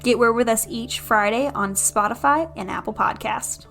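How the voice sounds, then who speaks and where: Woman for a podcast promo, slightly high register, scripted delivery over soft music. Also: normal speed, gravelly. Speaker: comedy podcast co-host.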